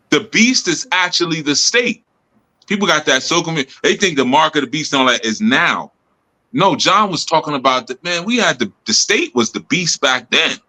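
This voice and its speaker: A man's voice: a little high, pushed and bright, poor audio. A man leading a group talk, teaching loosely with laughs and lots of "you know" and "man". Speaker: spiritual group leader.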